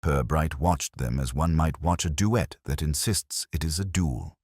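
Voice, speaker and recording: A man's voice: very deep voice, measured pace, darkish tone, no room sound, studio quality. A man reading scripted mystery fiction as a narrator, with a wry, literary storytelling manner.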